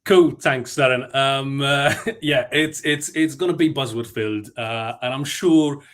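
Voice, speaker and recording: A man's voice: mid-range voice, unhurried, a bit bright. A man from Malta giving a conference talk, chatty and self-deprecating, with frequent fillers and restarts. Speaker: conference speaker.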